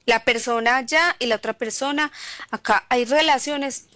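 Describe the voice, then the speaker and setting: A woman co-hosting a radio show, discussing slowly and emphatically. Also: high-pitched, sharp tone, no room sound. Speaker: radio co-host. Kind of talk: casual on-air discussion.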